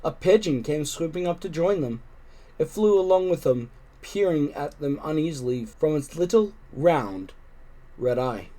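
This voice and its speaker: A man reading a children's story aloud, expressively and with deliberate phrasing. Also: a little high, animated, mellow. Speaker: storybook reader.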